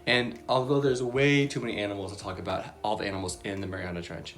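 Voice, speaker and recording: A woman's voice: rumbling low, fairly even intonation, fast. A woman hosting a casual Bible lesson for young children, chatty and bubbly, with many fillers. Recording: soft background music.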